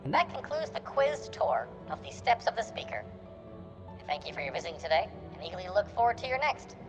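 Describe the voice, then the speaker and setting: A man's voice: high-pitched, very animated, fairly quick, lo-fi sound, over music. A man voicing a cheerful, formal female quiz tour guide in a game, in a ceremonious announcing manner.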